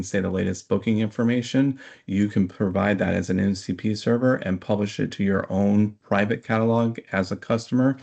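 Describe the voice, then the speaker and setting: A man explaining technical material as an interview guest, in a measured, hesitant manner. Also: low voice, gravelly, a little mellow, lo-fi sound.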